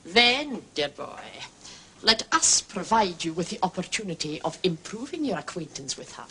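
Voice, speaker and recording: Arch, lively lady artist. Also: on the low side, moderate pace, slight background noise, poor audio. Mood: conspiratorial. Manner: arch, theatrical, mock-indignant. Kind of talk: British radio drama acting.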